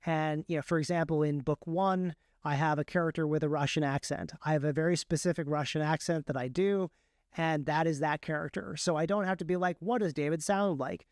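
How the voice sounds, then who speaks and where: A man's voice: on the high side, steady tone, a little fast, no room sound, studio quality. A man speaking casually as an interview guest, explaining his craft and often repeating words mid-sentence. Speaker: guest, author and audiobook narrator.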